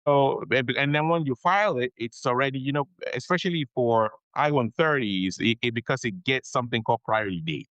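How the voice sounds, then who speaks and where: A male attorney explains immigration matters on a podcast, speaking at a middling pitch. He repeats his main points and checks in with "okay?" as he goes. The sound is close and dry, with no room echo. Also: fairly quick, hardly any pauses, fairly bright tone, muffled recording. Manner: explanatory, repeats key advice, frequent "okay?" check-ins. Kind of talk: conversational podcast interview.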